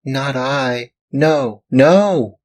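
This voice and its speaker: A man voicing a scared, crying wooden puppet boy in a storybook reading, pleading in a high, sobbing voice. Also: slow, lightly acted. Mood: tearful pleading.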